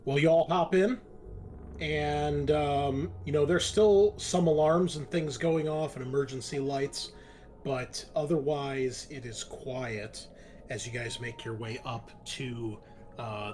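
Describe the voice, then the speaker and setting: A man running a tabletop roleplaying game as game master, narrating conversationally with halting pauses. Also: medium pitch, slight background noise.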